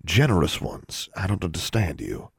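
A stern wizard father, acted by a male narrator, with a deep voice and grave, formal phrasing. He sounds solemn and controlled, in a dry audiobook recording. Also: big pitch swings, normal speed, creaky, breathy edge, no room sound, full character voice. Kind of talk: dramatic audiobook character acting.

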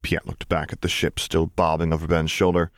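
A man's voice: a bass voice, completely flat delivery, a little fast, dry recording, clean studio sound. A man reading a story aloud as scripted audiobook narration. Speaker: audiobook reader.

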